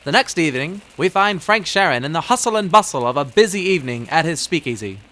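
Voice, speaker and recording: A man's voice: a little high, very animated, quick pace, a bit bright. A man narrating dramatically in the manner of an old-time radio serial announcer. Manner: theatrical announcer delivery.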